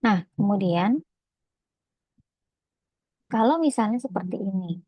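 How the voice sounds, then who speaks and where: A woman with an Indonesian accent giving a lecture that explains slides, speaking hesitantly with frequent pauses and filler phrases. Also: mid-range voice, lively intonation, mellow, no echo. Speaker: accounting lecturer.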